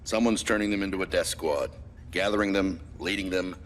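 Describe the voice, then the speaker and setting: An actor playing a stern military commander in a video game, giving formal, measured and authoritative mission briefings. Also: male voice, low voice, fairly bright tone, a little hiss or hum. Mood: grave, authoritative. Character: stern male military commander briefing a special forces soldier. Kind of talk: scripted game cutscene acting and mission guidance.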